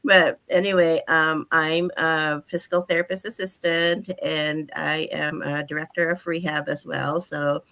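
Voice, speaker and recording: Low-voiced chatty woman from the Philippines. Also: level tone, unhurried, hardly any pauses, bright, forceful tone. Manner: chatty, laughs often, many fillers. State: unwell. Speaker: guest. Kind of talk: casual chat.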